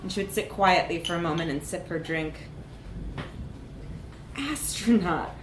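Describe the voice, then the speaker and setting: A woman's voice: lowish voice, a little slow, roomy sound, noisy background. A woman reads a comic short story aloud at a live event, with wry, emphatic narration. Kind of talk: animated literary narration, live reading.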